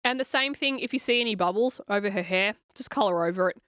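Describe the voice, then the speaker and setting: A woman, hoarse and scratchy from a cold, talking through a coloring tutorial in a chatty, encouraging way. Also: medium pitch, fast, a bit bright, no room sound, clean studio sound. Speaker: coloring channel host.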